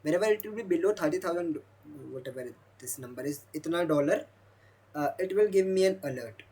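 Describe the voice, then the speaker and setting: A young man, a Hindi speaker, walking through a demo of his own software while thinking aloud. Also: fairly high pitch, expressive, brisk, breathy edge. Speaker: software developer demoing his app. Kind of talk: screen-recorded product demo.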